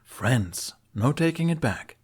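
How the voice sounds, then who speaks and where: A man's voice: medium pitch, expressive, takes it slowly, husky, voice pushed up. A man voicing a guarded young man who hides his worries behind half-hearted jokes in an audio story. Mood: joking, teasing. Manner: flippant, half-hearted joking.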